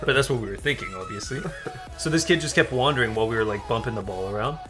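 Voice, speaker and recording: Young adult male gaming co-host, mid-low voice, casual rambling anecdotes, amused, faint music behind. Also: expressive, racing. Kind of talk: casual storytelling chat over gameplay. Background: background music.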